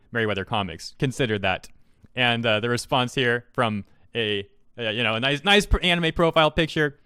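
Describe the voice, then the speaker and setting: A man with a mid-pitched voice delivers opinionated commentary as an online video host. His manner is sarcastic and mocking, leaning on rhetorical questions. The sound is dry, with no room echo. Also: bright, forceful tone, clear, resonant tone. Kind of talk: opinionated online commentary, reading out tweets and reacting.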